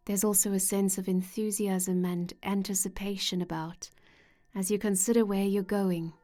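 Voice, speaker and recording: A woman leading a scripted guided meditation in a gentle, soothing way with measured pauses. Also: medium pitch. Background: soft meditation music with singing-bowl tones.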